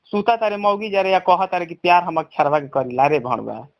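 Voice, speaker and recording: A man's voice: sits high, fairly quick. A man with a Bhojpuri accent in a heated phone conversation, scolding bluntly and swearing crudely, on a recorded call.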